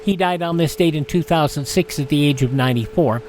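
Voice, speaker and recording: A male radio host reading a history rundown in a measured way with wry asides. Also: on the high side, darkish tone, faint music behind.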